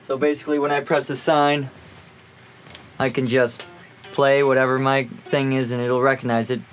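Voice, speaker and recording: A man's voice: medium pitch, flat delivery, normal speed. A man presenting an informal music gear tutorial, explaining in an offhand way as he thinks aloud.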